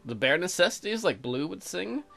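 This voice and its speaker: An irreverent man commentates on a game and reads its text aloud. His voice is bright and forceful with a lively, swinging pitch, crude and mocking in manner. Faint music runs behind him. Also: normal speed, gravelly. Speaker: let's play host. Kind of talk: joking game commentary mixed with reading aloud.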